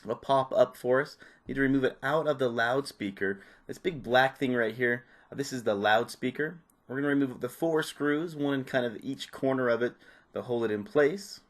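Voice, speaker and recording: A man's voice: medium pitch, normal speed. A man presenting a repair tutorial, giving casual, informal step-by-step hands-on instructions. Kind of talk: casual hands-on how-to narration.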